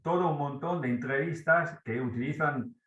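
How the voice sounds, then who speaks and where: A man's voice: fairly high pitch, fairly bright tone, reverberant, muffled recording. A man from Italy with an Italian accent, speaking Spanish as a second language, asking a question on a video call and searching for words. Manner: searching for words, halting restarts. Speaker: audience member asking a question. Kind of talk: question in a webinar.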